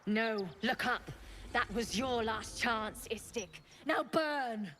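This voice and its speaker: High, echoing alien raider. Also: female voice, slow. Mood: gloating fury. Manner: fierce, sneering. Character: savage, taunting Githyanki raider woman, bloodthirsty. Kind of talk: dramatic fantasy video game acting. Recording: long reverb, faint noise, distant.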